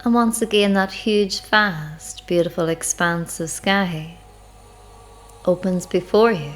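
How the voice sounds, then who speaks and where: A woman guiding a spiritual meditation in an unhurried, reflective, measured way, with long pauses between phrases. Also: on the low side. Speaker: guided meditation leader. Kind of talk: slow spiritual guided meditation narration. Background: music.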